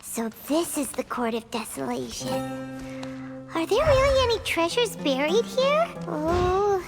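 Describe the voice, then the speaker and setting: Brief: tiny fairy sidekick for a game, extremely high squeaky childlike voice, lively swings, curious and jumpy, music behind. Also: female voice, measured pace, heavily acted. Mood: nervous wonder. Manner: talks of self in third person, exclamatory. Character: small childlike fairy companion, chirpy, curious, easily spooked. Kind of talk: video game character acting.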